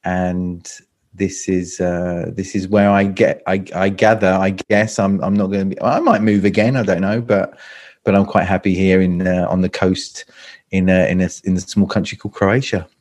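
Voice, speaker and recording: A man's voice: sits low, level tone, moderate pace, a little mellow. Hesitant British man on a video call. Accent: British English. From England, London. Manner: hesitant, many restarts and repeats. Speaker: guest, public speaking coach. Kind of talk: casual interview chat.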